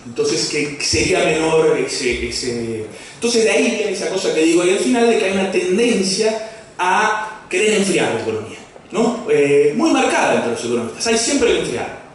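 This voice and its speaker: A man who grew up in Brazil giving an economics lecture, halting with fillers and restarts, mixing in asides and jokes. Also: high-pitched, sing-song. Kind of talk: academic talk. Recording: echoey hall from far off, faint noise.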